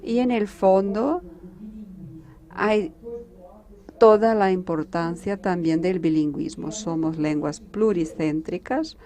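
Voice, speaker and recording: A Brazilian woman diplomat, a native Portuguese speaker, speaking with a Brazilian accent at a conference panel, measured and halting with restarts. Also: on the low side, warm tone.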